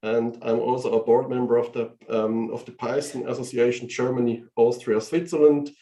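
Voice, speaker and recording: A man's voice: medium pitch, little pitch movement, normal speed, warm tone, echoey room, low-quality recording. A man who works as a professor, introducing himself in a friendly, slightly rambling way. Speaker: professor and board candidate. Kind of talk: online meeting self-introduction.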